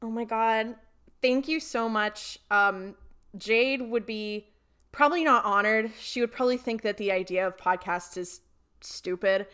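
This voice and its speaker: A woman hosting a casual group podcast, chatty and playful and quick to laugh. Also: on the high side, fairly bright tone, clean tone. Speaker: podcast host.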